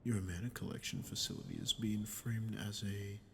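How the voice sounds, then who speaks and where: A man playing a sadistic, smug archdemon in an ASMR roleplay, talking down to the listener with slow, mocking condescension. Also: fairly low pitch, fairly even intonation, fairly bright tone, slight background noise. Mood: accusing. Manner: mocking, condescending, slow taunting delivery with breathy drops. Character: sadistic, smug male archdemon toying with a captive ruler. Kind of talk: ASMR audio roleplay, dramatic monologue to the listener.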